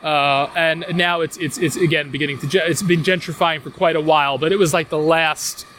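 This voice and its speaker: A man's voice: fairly high pitch, steady tone, a little fast, fairly bright tone, a little hiss or hum. A man with a New York accent giving a casual walking tour outdoors on city streets, rambling enthusiastically with fillers and restarts. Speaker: tour guide.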